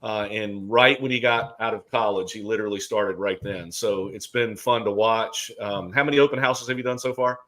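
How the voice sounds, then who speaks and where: Chatty middle-aged host, joking. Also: male voice, lowish voice, steady tone, moderate pace, few pauses, fairly bright tone. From Alabama. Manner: chatty, joking, many fillers. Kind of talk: casual chat.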